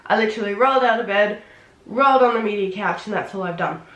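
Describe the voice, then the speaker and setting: A woman with a head cold, sounding nasal and sniffly, chatting excitedly through a casual makeup tutorial. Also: medium pitch, fast talker, highs cut off. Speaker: beauty vlogger. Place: home room. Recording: roomy, echoey room.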